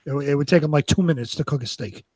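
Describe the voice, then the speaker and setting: Higher-voiced man chatting casually, dry sound. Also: very fast, a little mellow, dry recording. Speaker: co-host of a live online show. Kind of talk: casual conversational chat.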